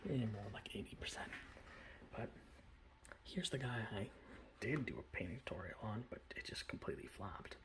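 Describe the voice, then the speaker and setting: A man speaking in a breathy whisper, presenting his hobby in a casual, rambling ASMR style. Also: lively intonation, normal speed, long pauses. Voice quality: breathy whisper with soft low speech. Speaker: hobbyist miniature painter presenting his collection. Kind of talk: whispered ASMR show-and-tell.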